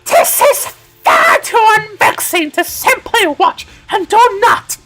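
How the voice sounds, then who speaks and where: Game role: bombastic female knight man acting, gremlin-like, shrill and extremely high, archaic pompous delivery. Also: sing-song, slow pace, over loud music, exaggerated voice. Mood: outraged.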